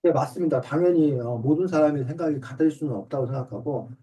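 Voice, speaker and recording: A man's voice: a little high, fast, warm tone, a little hiss or hum. A middle-aged man, an author and teacher, politely explaining things step by step in simple terms during an online class. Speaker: author and reading teacher answering a student's questions. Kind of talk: explanatory talk in an online book discussion class. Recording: distant mic on online video call.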